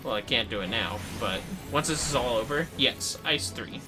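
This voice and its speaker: Brief: livestream gamer with an androgynous mid-range voice, casual, playful and sweary, clear music bed behind. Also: mid-range voice, lively intonation, normal speed. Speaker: game livestreamer. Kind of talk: casual gameplay commentary with chat replies. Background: game music.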